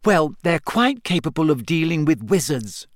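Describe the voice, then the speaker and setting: Man doing a bossy clever schoolgirl, way up high, snapping and defiant. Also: big pitch swings, moderate pace, no room sound, clean studio sound. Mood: lecturing. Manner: sharp, defiant outbursts. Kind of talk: audiobook character voice.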